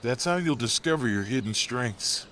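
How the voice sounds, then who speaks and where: A man voicing a wise, kindly old elephant in a children's storybook, speaking softly and encouragingly. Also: mid-range voice, animated, moderate pace, slight background noise, natural voice. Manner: soft, gentle, encouraging.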